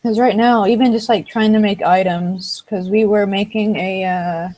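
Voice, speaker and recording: A woman with a mellow, dark-toned voice chats over a computer microphone. She talks hesitantly, pausing and restarting as she looks for words. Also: mid-range voice, fairly even intonation. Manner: hesitant, many fillers and restarts. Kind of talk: casual chat. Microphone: computer microphone on a voice chat.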